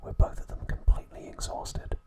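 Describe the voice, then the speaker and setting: A man whispers his way through an ASMR recap. His voice is breathy and unvoiced from start to finish. He delivers the narration in hushed, measured short phrases, as a host guiding the listener through trivia.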